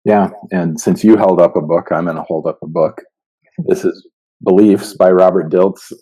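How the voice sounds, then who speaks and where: Male podcast co-host, low mellow voice, casual conversational delivery. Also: fairly even intonation, normal speed, low-quality recording. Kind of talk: casual chat.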